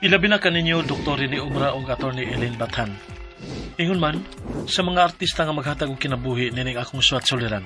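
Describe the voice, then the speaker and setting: A man's voice: mid-range voice, fast, over music. A man in his twenties narrating a radio drama and playing a polite delivery rider. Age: 20s. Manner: polite, deferential. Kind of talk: dramatic reading.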